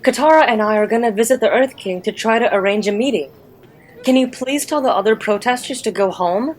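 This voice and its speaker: A woman playing an earnest, idealistic young boy hero in an animated comic dub. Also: a little high, a little fast, close to an everyday voice. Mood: conciliatory.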